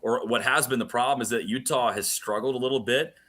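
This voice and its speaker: A man's voice: mid-range voice, fairly even intonation, a little fast, a bit bright. A man who works as a radio play-by-play announcer, giving casual interview answers about sport, often repeating words and using colorful slang.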